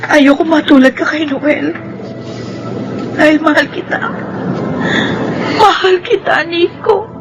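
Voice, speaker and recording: An actress playing a lovelorn, fragile young heiress in a Filipino radio drama, speaking tenderly and earnestly. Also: female voice, sits high, slow, long pauses, dull audio, music in the background. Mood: tearful, pleading. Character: lovelorn, fragile young heiress grieving her lost fiance.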